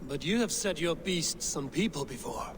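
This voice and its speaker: An actor playing a stern, wary Viking warrior in a video game, speaking in a measured, blunt way. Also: male voice, a little high, lively intonation, close to an everyday voice. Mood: probing, firm. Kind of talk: video game dramatic acting. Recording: clear background noise and ambience.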